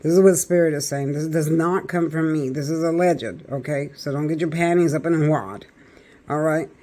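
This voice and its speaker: A man with a raspy voice doing a chatty tarot reading, talking straight to his viewers. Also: on the high side, normal speed, dark tone. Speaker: tarot reader.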